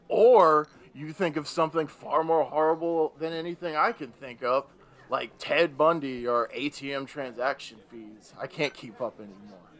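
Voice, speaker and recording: A man performs the Devil as a weary old fellow facing retirement in a radio play. He sits at a middling pitch and grumbles theatrically, with bitter, sardonic wit. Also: natural voice.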